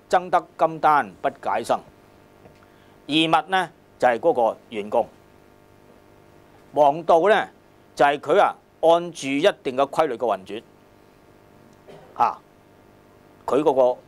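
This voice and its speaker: A man with a Hong Kong Cantonese accent lecturing on a classical text in a colloquial, emphatic way, repeating phrases and checking with rhetorical questions. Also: medium pitch.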